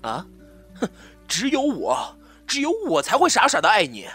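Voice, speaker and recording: An actor playing an obsessive, scheming jilted lover in a Chinese audio drama, swinging from soft pleading to taunting menace. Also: male voice, mid-range voice, big pitch swings, normal speed, husky, no echo, faint music behind. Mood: bitter, hysterical.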